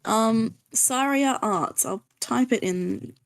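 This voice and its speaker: A woman's voice: a little high, takes it slowly, no echo, low-quality recording. A woman guest on a livestream, chatting casually and playfully.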